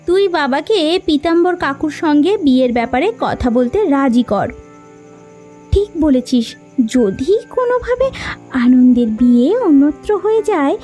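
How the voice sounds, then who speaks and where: A scheming young village woman from a cartoon moral tale, acted by a woman. Her voice sits very high and is softly mellow, with lively swoops. She sounds conniving and emphatic over faint music. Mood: urgent scheming. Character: scheming, ambitious young village woman plotting a powerful marriage. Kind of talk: Bengali moral-story cartoon dubbing.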